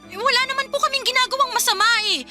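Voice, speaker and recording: A woman's voice: very high-pitched, racing, bright, forceful tone, soft background music, exaggerated voice. A woman playing an earnest young working daughter in a radio drama, aggrieved, pleading and defensive. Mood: hurt, defensive. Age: young adult. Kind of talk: Filipino radio drama acting.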